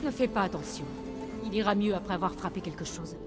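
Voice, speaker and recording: A woman's voice: medium pitch, quick pace, lightly acted. A woman voicing a proud, shrewd rebel leader in a video game, with an earnest, firm and persuasive delivery. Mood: warmer. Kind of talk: video game voice acting in French. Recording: game dialogue over loud music.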